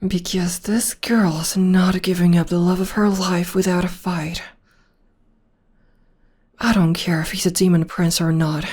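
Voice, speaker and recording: A woman playing a breathy, tender witch girlfriend. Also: on the low side, flat delivery, a little slow. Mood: protective. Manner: soft and soothing, often whispering. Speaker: voice actor. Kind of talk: ASMR girlfriend roleplay. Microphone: close-up ASMR microphone.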